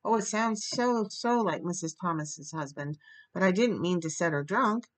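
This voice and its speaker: A woman's voice: lowish voice, normal speed, gravelly. A woman voicing an earnest, dramatic young orphan girl in a storybook, imploring and effusive. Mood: tearful, wailing.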